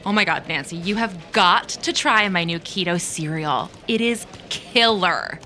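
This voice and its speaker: A woman's voice: on the low side, measured pace, close to an everyday voice. A woman playing an oblivious, gushing office coworker in an audio drama. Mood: chummy, gushing. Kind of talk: audio fiction acting. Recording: music bed behind the voice.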